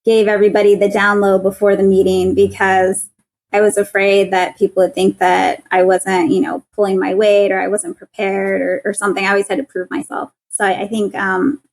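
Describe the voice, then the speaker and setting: A woman's voice: medium pitch, little pitch movement, brisk, gravelly, darkish tone, rough recording. Young-sounding woman, earnest and chatty. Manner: earnest, laughs often, restarts words. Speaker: guest, patent attorney. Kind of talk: casual interview conversation.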